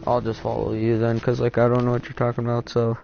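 A deep-voiced teenage boy chatting casually with a friend while playing a game, laid-back and swearing a lot. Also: monotone, fast, vocal fry, highs cut off, faint music behind. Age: teen. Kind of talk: casual gaming chat.

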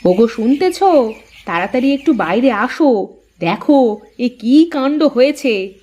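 A woman acts an outspoken cartoon housewife from a village. Her voice is high and very lively in pitch, with a nagging, exclamatory rustic delivery. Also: unhurried. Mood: astonished. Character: outspoken village housewife, scolding yet easily amazed. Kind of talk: cartoon moral-story voice acting.

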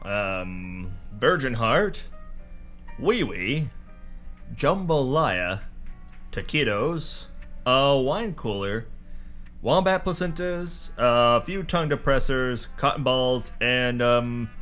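A man's voice: medium pitch, expressive, takes it slowly, fairly bright tone, soft background music. A man playing a cocky, melodramatic pulp action hero in a hardboiled parody audio drama. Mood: deadpan. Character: cocky, brash pulp action hero narrating his adventure.

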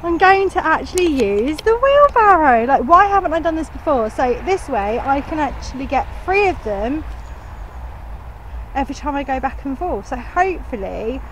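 A woman in her thirties speaks in a fairly high voice with a southern English accent. She chats informally and with enthusiasm, like a vlogger talking to her viewers. The handheld camera recording has clearly audible outdoor noise behind her. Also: sing-song, moderate pace, fairly bright tone, poor audio. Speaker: gardening vlogger. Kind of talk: casual chatty vlog. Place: outdoors on an allotment, partly in a shed. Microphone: handheld camera at arm's length.

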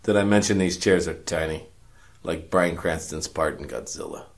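A man playing a cocky, wry investigator, narrating his case in deadpan noir parody for a radio play. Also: low voice, normal speed. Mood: wry, smug. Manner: deadpan, sardonic.

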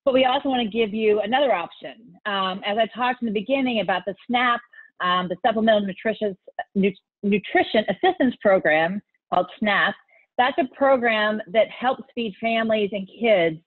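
A woman's voice: medium pitch, normal speed. American woman on a phone-like call. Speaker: advocacy event moderator. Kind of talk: explanatory call to action. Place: bright room at home, on video call. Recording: thin, phone-like video call audio.

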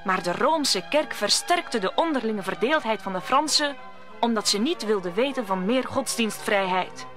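A woman's voice: a little high, expressive, moderate pace, fairly bright tone, faint music behind. A woman narrating a scripted radio play as a storyteller.

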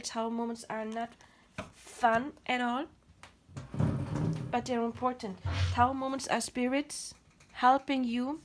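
A woman with a German accent lays out a tarot reading in a gentle, explanatory manner, her voice pitched a touch high. Her throat is dry and she sounds a bit tired, and a faint hiss sits under the recording. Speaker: tarot card reader. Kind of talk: pick-a-card tarot reading. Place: home, at a table. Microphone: camera over the table, speaker off screen.

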